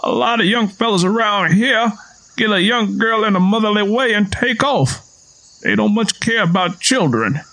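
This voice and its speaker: A man's voice: sits high, lively intonation, moderate pace, bright, forceful tone, pitched above the performer's own voice, exaggerated voice, low-quality recording. A man voicing a wary rural Southern father in an audio story, folksy and defensive as he talks. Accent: American South.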